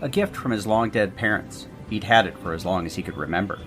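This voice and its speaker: A man playing a formal bunker tour guide and storyteller, narrating a scripted podcast drama in a measured, theatrical manner. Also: lowish voice, over music, lightly acted. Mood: grave storytelling. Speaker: host and narrator.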